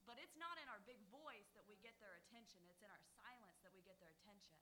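Woman teacher sharing in church, bright clear voice, earnest, bit of noise behind. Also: medium pitch, lively intonation, fast. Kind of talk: sharing during a sermon.

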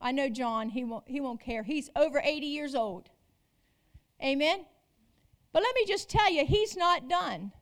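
Very high-voiced woman preaching a sermon. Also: expressive, unhurried. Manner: emphatic, repeats phrases, rhetorical questions to congregation.

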